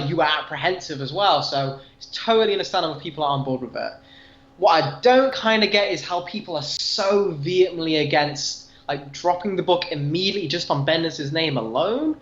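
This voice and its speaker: A man with a fairly high voice talks casually as a podcast co-host. His delivery is earnest and rambling, and the recording is roomy and a little dull. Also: fairly bright tone. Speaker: comic book review podcast co-host. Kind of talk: casual fan discussion.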